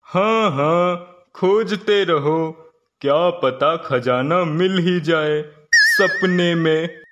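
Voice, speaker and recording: A man's voice: on the high side, huge pitch swings, drawn-out words, bright, forceful tone, muffled recording, full character voice. A man voicing a mocking, rustic young village man in a cartoon moral story.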